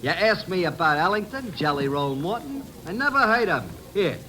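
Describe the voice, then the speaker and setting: A boastful barroom pianist in a vintage radio drama. His fairly high voice bounces around animatedly in brash patter, with music playing clearly behind. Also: expressive, brisk. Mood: boastful, eager. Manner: brash, patter, name-dropping. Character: boastful, fast-talking cafe piano player. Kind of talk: old-time radio drama acting.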